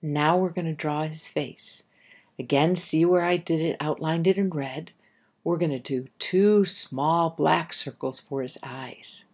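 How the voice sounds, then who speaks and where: A woman's voice: deep voice, a little slow, husky. A woman reading aloud to young children and guiding them in simple, instructive language, speaking directly to the listener. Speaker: storybook reader and drawing guide.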